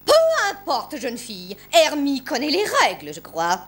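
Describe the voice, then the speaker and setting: A woman's voice: fairly high pitch, big pitch swings, a little slow, fairly bright tone, breathy edge, exaggerated voice. A woman playing a stern, cruel middle-aged boarding-school headmistress in a French cartoon dub, with haughty, clipped authority. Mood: cold disapproval.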